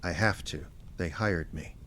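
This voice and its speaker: Casting: male actor as deep-voiced American gumshoe for radio play, wry, plainspoken, persistent, clear noise in background. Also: measured pace, fairly bright tone. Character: wry, persistent male small-time private investigator. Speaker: lead radio drama actor. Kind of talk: audio drama dialogue and first-person detective narration.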